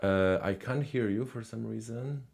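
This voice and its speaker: A man's voice: on the low side, moderate pace, vocal fry. A man hosting a live language call-in stream, chatting casually with callers with lots of fillers and repeats. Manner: relaxed, many fillers and repeated words.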